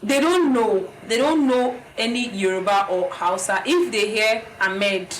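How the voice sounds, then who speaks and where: A woman with a Nigerian accent, co-hosting a casual podcast chat in a lively, enthusiastic way. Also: mid-range voice, a little hiss or hum, rough recording. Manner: lively, enthusiastic, quick to agree. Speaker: podcast co-host.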